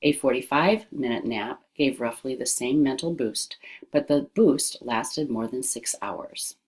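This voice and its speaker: A woman reading an educational text aloud as scripted narration, with careful, deliberate enunciation. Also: very deep voice, darkish tone.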